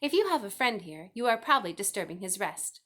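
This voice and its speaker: A woman's voice: medium pitch, big pitch swings, brisk, fairly bright tone. A woman voicing a stern, sensible old sheep who scolds, in a children's storybook. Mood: scolding. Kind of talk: children's storybook read-aloud.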